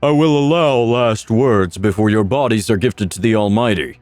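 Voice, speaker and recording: A man's voice: on the low side, normal speed, darkish tone, no room sound, soft background music, lightly acted. A man voicing an unhinged, gleeful necromancer master in a narrated fantasy story, flippant and menacing, with a theatrical, toying delivery. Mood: flippant menace.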